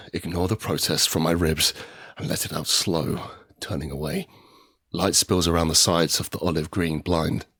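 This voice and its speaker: A man's voice: low-pitched, vocal fry, fairly bright tone, slightly rough, full character voice. A man acting a desperate, anguished middle-aged father in a post-apocalyptic audio drama, narrating in the first person with raw intensity. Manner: intense, emotionally raw, sweary. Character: desperate, anguished father surviving an apocalypse, haunted and guilt-ridden.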